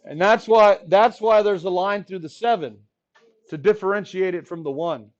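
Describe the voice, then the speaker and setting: A man in his forties from the Rio Grande Valley, teaching a high school math class in a playful, joking way, his voice a bit hoarse. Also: normal speed. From Texas Rio Grande Valley. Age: 40s. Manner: playful, jokey, talks to the class. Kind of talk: classroom lecture. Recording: dull lo-fi classroom recording.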